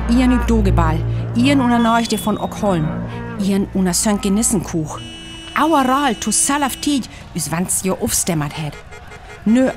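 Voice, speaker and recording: A woman's voice: medium pitch, lightly acted. Woman acting a grave radio reporter over music. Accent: North Frisian. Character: grave female radio reporter witnessing a Martian invasion. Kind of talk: radio drama live report.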